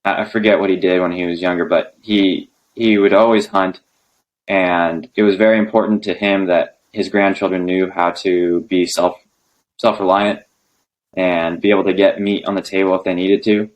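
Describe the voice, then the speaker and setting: Young man, very low voice, laid-back, lots of ums, interview guest. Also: moderate pace, poor audio. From United States. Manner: relaxed, hesitant with many ums. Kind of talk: casual interview conversation.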